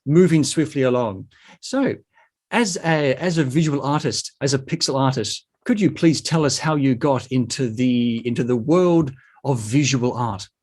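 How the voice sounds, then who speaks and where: A man's voice: medium pitch, a little slow, a little mellow. A man hosting a casual interview show, chatty and a little self-deprecating.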